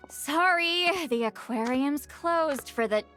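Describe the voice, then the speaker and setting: A woman's voice: sits high, exaggerated voice. An actress voicing a sleepy, grumpy and easily flustered young woman in a video game, groggy and exasperated.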